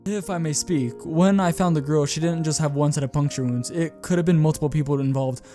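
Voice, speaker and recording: Man playing a mellow, proud young vampire heir. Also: fairly high pitch, brisk, faint music behind, natural voice. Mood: serious. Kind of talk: scripted roleplay voice acting.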